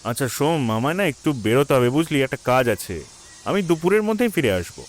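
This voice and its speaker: A man's voice: medium pitch, sing-song, brisk, slight background noise, natural voice. A man playing a concerned young friend in an audio drama, with a familiar, casual manner. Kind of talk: Bengali audio drama acting.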